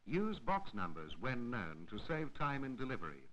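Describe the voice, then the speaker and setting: Bright-voiced man narrating, lively pitch. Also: normal speed, lo-fi sound, slight background noise. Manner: formal, instructional. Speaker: educational film narrator. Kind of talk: 1960s educational film narration, scripted.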